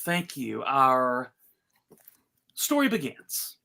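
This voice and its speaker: A host casually running a live event, announcing in a theatrical, mock-serious way with halting pauses. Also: mid-range voice, sing-song, creaky, sharp tone. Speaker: panel host and narrator.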